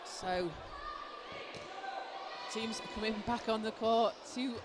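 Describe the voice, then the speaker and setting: Hoarse high-pitched man calling basketball. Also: slow. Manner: excited, shouting calls on big plays. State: hoarse from a cold. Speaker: basketball commentator. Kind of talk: live play-by-play commentary. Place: indoor basketball arena. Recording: clear arena noise behind.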